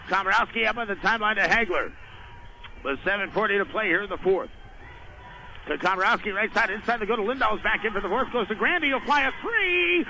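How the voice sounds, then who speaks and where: A man doing live radio play-by-play of a basketball game in clipped phrases, rising to excited shouts on big plays. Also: high voice. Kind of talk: live radio sports commentary. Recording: noisy radio broadcast from a gym.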